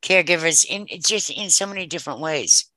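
A woman's voice: low-pitched, fairly quick, bright, forceful tone, no room sound, poor audio. An older woman chatting casually, hesitant, circling back and repeating her phrases as she goes. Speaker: discussion participant. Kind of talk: casual conversation.

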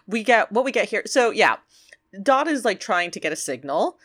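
A woman's voice: mid-range voice, sing-song, normal speed, fairly bright tone. A woman co-hosting a podcast, chatting casually and enthusiastically as she recaps an episode, with many fillers. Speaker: podcast co-host.

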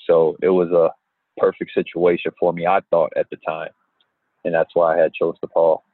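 A young man and basketball player from Indiana who answers interview questions in an unhurried way, with many pauses. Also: sits low, flat delivery, no room sound. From Gary, Indiana. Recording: tinny phone-like line.

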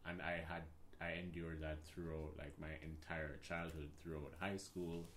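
A man from Jamaica with a Jamaican accent, co-hosting a casual chat video, talking thoughtfully with hesitant pauses. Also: a bass voice, level tone, a bit bright, a little hiss or hum. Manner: thoughtful, hesitant, many "like" fillers. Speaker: video co-host.

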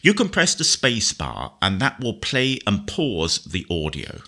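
A man presenting a software tutorial in a methodical, precise instructional manner, explaining step by step as he demonstrates. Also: fairly low pitch, huge pitch swings, measured pace. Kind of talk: spoken screen-reader software demonstration.

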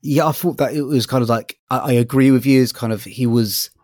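A man's voice: medium pitch, brisk, a little mellow, dry recording, clean studio sound. A man co-hosting a podcast, chatting casually in a hesitant, rambling way as he thinks aloud. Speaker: podcast co-host reviewing audiobooks. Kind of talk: casual discussion.

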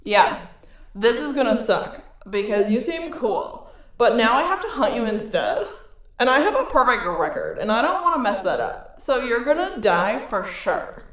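A man's voice: very high voice, big pitch swings, moderate pace, a bit bright, reverberant, voice pushed up, heavily acted. A man voicing a huge female cat queen who talks in casual valley-girl slang, chatty and offhand. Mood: apologetic.

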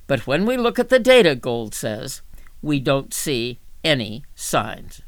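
A woman reading magazine articles aloud as scripted narration, in a measured, clearly phrased way. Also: extremely low pitch, very animated, no echo. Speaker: volunteer reader for an audio reading service.

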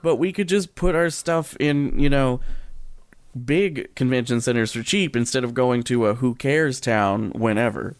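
A man's voice: mid-range voice, measured pace, no room sound. An American man hosting a casual podcast chat in a wry, joking way. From US Midwest. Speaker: podcast host.

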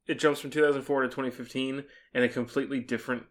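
A man's voice: medium pitch, flat delivery, rapid-fire. A man co-hosting a casual podcast, making dry, wisecracking remarks. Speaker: podcast co-host. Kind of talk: casual chat.

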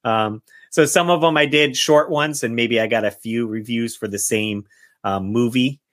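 A man's voice: medium pitch, moderate pace, fairly bright tone. A man chatting casually as a podcast host in an easygoing manner.

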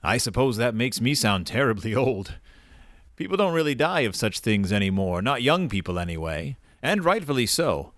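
An expressive, kindly old grandfather. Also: medium pitch, normal speed, dry recording, studio quality. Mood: wistful, reflective. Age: older. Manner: courteous, old-fashioned, wry. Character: kindly, wistful, gruff elderly widowed grandfather. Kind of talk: audio drama acting.

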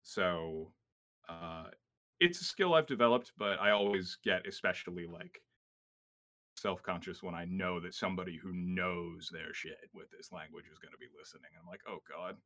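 An American man with a lowish voice, talking casually as a guest on a podcast. He is lively and self-deprecating and breaks into laughter easily. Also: moderate pace. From United States. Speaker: audiobook narrator.

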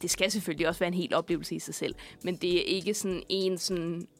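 En ung mand, der vært i et radiostudie og snakker løst og fyldord-tungt. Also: male voice, high voice, fairly even intonation, creaky, faint music behind. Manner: rambling, many fillers and restarts. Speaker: radio host. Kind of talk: casual studio chat. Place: student radio studio.

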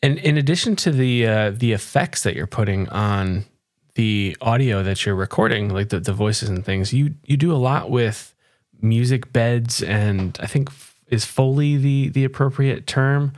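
A man with a medium-pitched voice hosts a podcast interview. His questions are casual and curious, phrased a little tentatively. The sound is close and dry, with no room echo. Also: unhurried, a little mellow.

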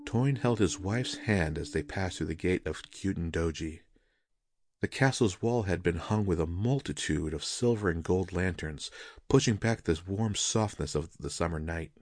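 A man narrating literary fiction as an audiobook reader, in a measured, solemn and dramatic manner. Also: low-pitched, darkish tone, no echo, studio quality.

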